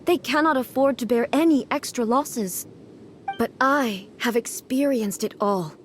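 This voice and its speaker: A woman's voice: fairly high pitch, measured pace, a little hiss or hum, close to an everyday voice. A woman voicing a proud, solemn immortal ruler goddess in a video game, with a formal, stately, reserved delivery. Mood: stern, solemn. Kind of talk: video game cutscene voice acting.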